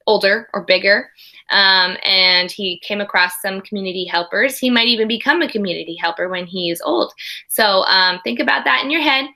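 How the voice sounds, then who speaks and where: A woman's voice: medium pitch, sharp tone. A woman teaching young children, reading a storybook aloud expressively and chatting to them in conversational asides. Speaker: early primary school teacher.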